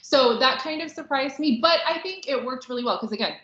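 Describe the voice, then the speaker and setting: A woman's voice: sits high, expressive, brisk, a bit bright. A woman co-hosting a podcast, chatting casually and informally about books and films, with plenty of "like" in her talk. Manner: chatty, informal, heavy use of "like". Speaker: podcast co-host. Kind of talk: casual book and film discussion. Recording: roomy echo, slightly dull sound.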